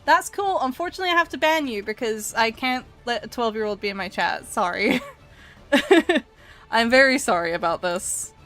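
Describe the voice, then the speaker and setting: A woman's voice: fairly high pitch, lively intonation, normal speed, a bit bright. Giggly woman streamer with Canadian-leaning accent, music behind. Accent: mixed Canadian-leaning North American. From Edinburgh, Scotland. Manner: giggly, chatty. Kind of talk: casual livestream chat. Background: background music.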